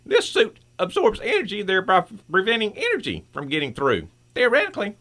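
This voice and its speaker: A woman's voice: mid-range voice, huge pitch swings, a little fast, fairly bright tone. A woman playing a friendly, confident scientist and inventor in an old-time radio drama, chatty and encouraging. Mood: friendly, proud.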